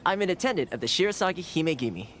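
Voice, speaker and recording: An actor playing a friendly, easygoing young man, an attendant with a smooth, polite and breezy way of talking in a video game. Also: on the high side, very animated, racing, fairly bright tone, slight background noise. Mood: friendly, explaining. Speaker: character in a game cutscene. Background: background music.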